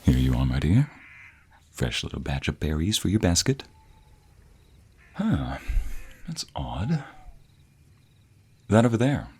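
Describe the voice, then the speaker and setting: A man's voice: extremely low pitch, sing-song, slow pace, long pauses, darkish tone, slight background noise, heavily acted. A young man playing a devoted, teasing boyfriend in a romantic audio roleplay, murmuring affectionately close to the microphone. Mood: playful. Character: playful, devoted young boyfriend, a villager with a secret. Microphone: close binaural microphone.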